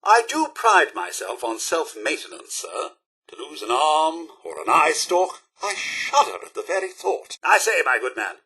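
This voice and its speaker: An actor playing a prim, fussy, snobbish robot butler in a video game, with a British accent and a formal, pompous, theatrically polite manner. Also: male voice, a little high, bright, forceful tone, husky, exaggerated voice. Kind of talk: scripted game companion dialogue.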